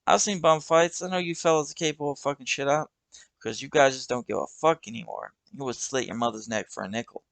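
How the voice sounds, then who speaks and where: A man's voice: mid-range voice, fast, creaky, fairly bright tone, no room sound, voice pushed up. A man voicing a brash young man who leads a gang, talking aggressively and with swagger.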